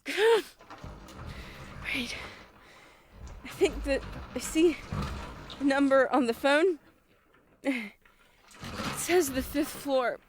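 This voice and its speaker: Horror drama victim: actress as frightened, wounded teacher, high voice, halting and dragging delivery. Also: female voice, huge pitch swings, a little hiss or hum, exaggerated voice. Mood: pained, desperate. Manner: halting, breaking sentences into fragments. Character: dazed, frightened, injured kindergarten teacher. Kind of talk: horror audio drama acting.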